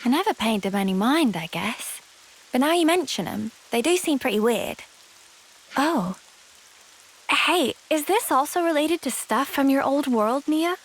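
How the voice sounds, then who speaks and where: A woman's voice: high-pitched, expressive, a little slow, poor audio. A woman voicing a cheerful, curious young woman in a video game, asking frank questions. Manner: bright, inquisitive, frank. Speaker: game character Sena. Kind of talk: video game cutscene dialogue. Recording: game cutscene with music behind. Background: game music.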